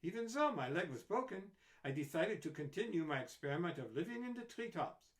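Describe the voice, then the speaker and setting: A man voicing an eccentric little female scientist who speaks in a strange, soft accent with fussy exclamations. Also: sits high, very animated, a little fast, pitched above the performer's own voice. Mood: earnest.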